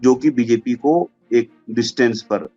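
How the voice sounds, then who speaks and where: A man's voice: mid-range voice, a little slow, warm tone. A man giving informal political analysis as a commentator, mixing English terms into his speech. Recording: dull lo-fi audio with music behind. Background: background music.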